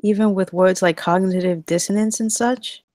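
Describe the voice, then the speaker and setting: Woman playing a mellow, caring flight attendant. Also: mid-range voice, fairly even intonation, normal speed, no echo, studio quality, close to an everyday voice. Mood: puzzled. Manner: steadying, earnest, quietly persistent. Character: shaken but caring young female flight attendant. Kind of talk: acted table read of a film script.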